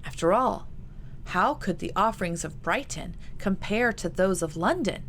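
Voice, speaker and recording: A woman's voice: mid-range voice, animated, moderate pace, fairly bright tone, a little hiss or hum. A woman reading a novel aloud as its author, in a scripted storytelling style. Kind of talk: scripted fiction narration.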